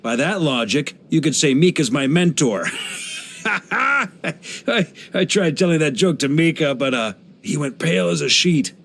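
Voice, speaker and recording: An actor playing a hearty, easygoing veteran knight grandmaster in a video game, speaking in an expressive, playful, conversational way. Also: male voice, fairly high pitch, measured pace, vocal fry, a little hiss or hum, heavily acted. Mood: cheerful, proud. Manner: jovial, expressive, playful, chuckling asides.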